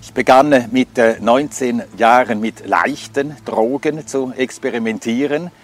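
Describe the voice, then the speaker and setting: A man's voice: medium pitch, a little slow. Older man telling his story. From Scandinavia. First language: Swedish. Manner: reflective, hesitant with frequent fillers. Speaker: testimony speaker. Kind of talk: personal life testimony.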